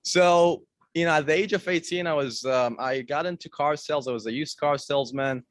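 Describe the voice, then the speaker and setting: A young man from Iraq giving an energetic, direct talk to an audience as a guest speaker, repeating phrases for emphasis. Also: on the high side, a little fast, dry recording, studio quality.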